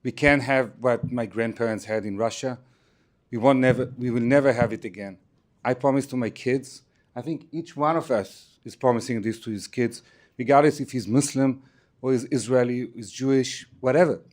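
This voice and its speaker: Earnest man, halting speech. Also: medium pitch, darkish tone. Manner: earnest, halting, non-native phrasing. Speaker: resident public commenter.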